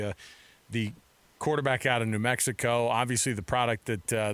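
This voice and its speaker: A man's voice: mid-range voice, a bit bright, no echo. An American man co-hosting sports talk radio in a casual, rambling, conversational way.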